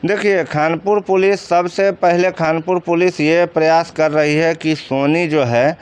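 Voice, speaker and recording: Bihari guy, slightly high clean voice, explaining stuff in an interview, asks questions then answers them himself. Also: fairly even intonation, measured pace, smooth. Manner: colloquial, explanatory, poses and answers own questions. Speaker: commentator interviewed about crime cases. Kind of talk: informal spoken commentary in an interview.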